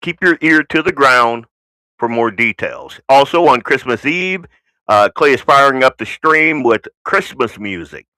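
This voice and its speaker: Bright-voiced American man hosting talk radio. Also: mid-range voice, animated, measured pace, smooth, dry recording, poor audio. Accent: American, flattened with Southern roots. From United States. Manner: opinionated, blunt, folksy, rambling asides. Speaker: talk show host. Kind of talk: unscripted political talk show monologue.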